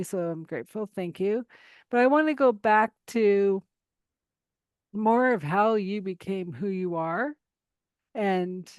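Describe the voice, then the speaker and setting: Female interviewer with an even mid-range voice, dry close recording, supportive and encouraging. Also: mid-range voice, clean tone, dry recording. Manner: encouraging, with short backchannels. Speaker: podcast host. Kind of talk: interview podcast.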